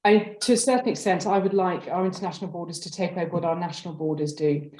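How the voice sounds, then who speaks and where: A woman who is a school head answers in a measured, considered way on a webinar panel, in roomy, echoing audio. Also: fairly low pitch, steady tone, a little mellow. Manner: measured, considered, hedging phrasing.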